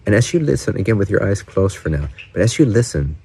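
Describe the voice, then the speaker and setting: A man leading a guided meditation in gentle, unhurried short phrases with pauses between them. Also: on the low side, sing-song, creaky, husky. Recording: birdsong and faint outdoor ambience behind. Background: doves, frogs, birds and distant cars.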